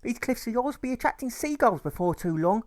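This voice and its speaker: Man putting on a shrill, doting mother. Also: sing-song, a little fast, a little mellow. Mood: fond, exasperated. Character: doting, exasperated mother teasing her small son. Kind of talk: audiobook character voice.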